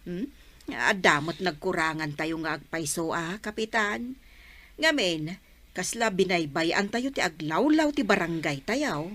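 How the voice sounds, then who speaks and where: A woman's voice: lowish voice, lively intonation, a little slow, a bit bright. An actress playing a practical, obliging village councilwoman in an Ilocano comedy radio drama, speaking with an Ilocano accent. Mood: concerned. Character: practical, obliging village councilwoman who organises clean-ups.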